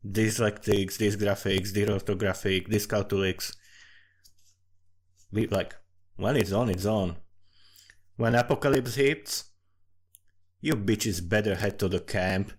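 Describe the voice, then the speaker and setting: Man, Slovak accent, lowish pitch, casual rambling commentary, sweary, self-deprecating, wandering off on tangents. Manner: profane, self-mocking, digressive. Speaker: storyteller and amateur writer. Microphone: desktop USB condenser microphone.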